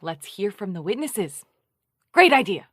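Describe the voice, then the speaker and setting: A prim, animated podcast host. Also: female voice, medium pitch, unhurried, no echo, studio quality. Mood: defensive, irked. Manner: polished, dramatic, prim. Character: self-important female true-crime podcast host, earnest and easily irked. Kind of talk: scripted true-crime podcast narration and interviewing.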